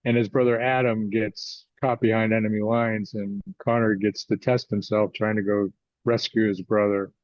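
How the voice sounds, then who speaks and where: A man in his sixties with an American accent talks as a guest author in an interview. His delivery is halting and conversational. The sound is close and dry but a little dull and lo-fi. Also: on the low side, little pitch movement, dry recording. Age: 60s. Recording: slightly dull, lo-fi audio.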